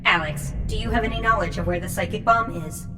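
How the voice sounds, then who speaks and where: A woman's voice: mid-range voice, fast, loud music behind the voice, lightly acted. A woman voicing a polite, literal-minded servitor robot, formal, precise and emotionless, in an audio drama. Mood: formal, even.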